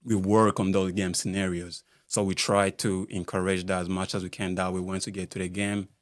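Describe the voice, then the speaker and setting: Deep, flat-voiced man from Rwanda. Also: dry recording. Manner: halting, searches for words, many fillers. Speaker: basketball skills coach. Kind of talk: casual podcast interview. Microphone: studio mic.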